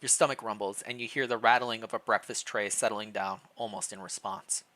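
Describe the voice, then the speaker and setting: A man's voice: on the low side, steady tone, brisk, pushed and bright. A man reading a story aloud on a live stream, mixing narration with casual commentary.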